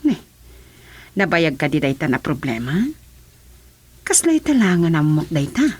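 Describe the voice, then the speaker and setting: Lively, inquisitive madam. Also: female voice, sits low, unhurried, slightly rough, full character voice. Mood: concerned. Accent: Filipino. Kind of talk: radio drama acting.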